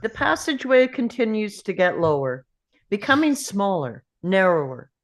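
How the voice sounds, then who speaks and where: A woman's voice: medium pitch, very animated, normal speed. A woman reading out the stage directions as narrator at a table read of a film script.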